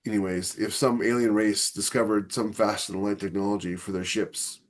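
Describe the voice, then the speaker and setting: A man in casual discussion as a podcast host, somewhat halting as he thinks aloud. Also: fairly low pitch, fairly even intonation.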